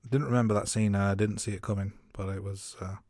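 A man co-hosting a podcast, recapping film scenes in a steady, casual way with some fillers. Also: lowish voice, fast, no echo, studio quality. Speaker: podcast co-host. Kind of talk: casual film review chat.

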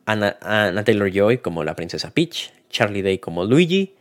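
A man's voice: on the low side, very animated, moderate pace. A man giving a casual spoken film review, hesitant, with many fillers and restarts.

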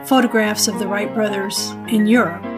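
A woman's voice: medium pitch, fairly even intonation, moderate pace, over music. An older woman with an American accent, a historian reflecting aloud as an interview guest.

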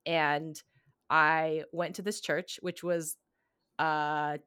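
A young woman, co-hosting a podcast and telling personal stories in an animated, casual way, full of "like" and "right?". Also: sits low, extremely slow, smooth, dry recording, clean studio sound. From United States. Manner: animated, heavy use of "like" and "right?". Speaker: podcast co-host. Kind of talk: casual conversational storytelling.

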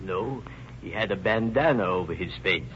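An actor playing a genteel, formally spoken husband in a 1930s radio crime drama. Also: male voice, deep voice, normal speed, muffled recording, close to an everyday voice. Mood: shaken. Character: genteel husband recounting his wife's shooting. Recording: noisy old 1930s radio recording.

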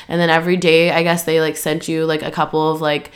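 Young woman, nasal and stuffy, solo podcast chat, fillers everywhere, lowish voice. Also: flat delivery, fast. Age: 20s. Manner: rambling, lots of "um" and "like". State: stuffy from allergies. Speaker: podcast host.

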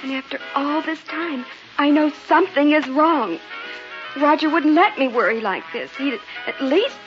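An actress playing an anxious, pleading wife in a radio horror drama. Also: female voice, high voice, lively intonation, moderate pace. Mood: worried. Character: anxious, pleading wife fearing for her husband. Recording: old muffled radio recording with music.

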